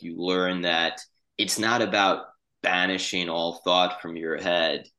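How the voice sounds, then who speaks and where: A man in casual podcast conversation as co-host, speaking reflectively in halting phrases. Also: low-pitched, bright, forceful tone.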